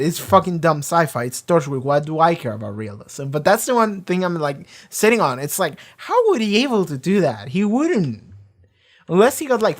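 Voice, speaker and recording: Loud, rambling, swearing reaction host. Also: medium pitch. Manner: swears freely, many fillers and restarts; often talks loud. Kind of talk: casual rambling discussion.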